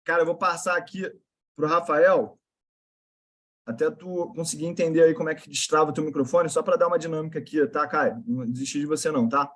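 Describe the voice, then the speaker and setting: A man's voice: on the high side, steady tone, fairly quick, a bit bright. A man with a Carioca accent hosting a live video call with investment students, talking in a brotherly, slangy, encouraging way. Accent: Carioca Portuguese.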